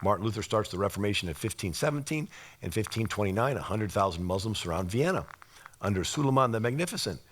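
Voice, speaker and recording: A man with a slightly raspy voice giving an informal history lecture, explaining things and posing rhetorical questions as he goes. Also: lowish voice, animated, fast.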